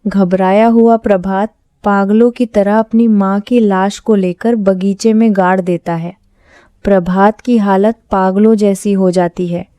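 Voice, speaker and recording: A woman's voice: medium pitch, steady tone, measured pace, mellow, clean tone, dry recording, muffled recording. A woman giving scripted narration of a horror story.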